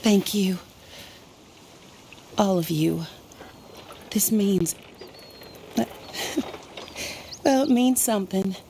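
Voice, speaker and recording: An actress playing a bitter, grieving widow out for revenge in a video game cutscene, refined, formal and pointedly sarcastic. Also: female voice, mid-range voice, extremely slow, creaky, pauses often, dark tone, a little hiss or hum. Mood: weary, wry.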